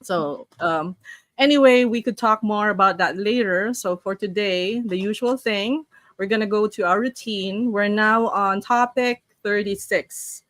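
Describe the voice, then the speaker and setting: Woman, online host, chatty, reads story haltingly, dry close sound. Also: mid-range voice, no echo. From Philippines. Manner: chatty, warm-up greetings, frequent restarts while reading. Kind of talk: casual online talk, reading a story aloud.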